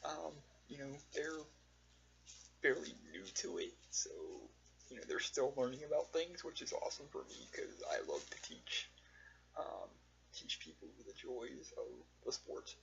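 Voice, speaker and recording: A man recording a casual, rambling personal vlog, speaking earnestly and haltingly in short phrases with long pauses. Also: medium pitch, very animated, gravelly, fairly bright tone. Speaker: vlogger. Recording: dull lo-fi audio with faint hum.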